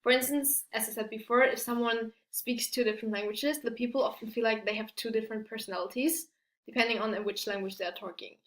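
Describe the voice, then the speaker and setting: A woman giving a prepared academic presentation as a student, halting and stumbling over her words. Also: on the high side, steady tone, fairly bright tone. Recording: echoey room.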